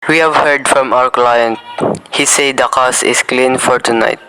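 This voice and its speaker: Boy voicing a flat, bright-toned crook giving orders, faint hiss. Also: medium pitch, a little slow, smooth, rough recording. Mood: cold. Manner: cold, flat, businesslike. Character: cold criminal man ordering a murder.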